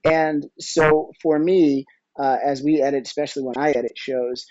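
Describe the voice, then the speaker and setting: A man speaking as a podcast guest, explaining casually in a halting, hesitant way. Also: fairly high pitch, steady tone, darkish tone, no echo, muffled recording. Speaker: podcast guest, audio drama producer and musician.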